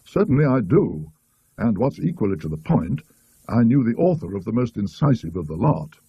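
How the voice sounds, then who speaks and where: A man's voice: lowish voice, very animated, moderate pace, husky, no echo, dull audio, heavily acted. A British man voicing an inquisitive, precise secretary of a learned society in a storybook. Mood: inquiring. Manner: precise, recollecting.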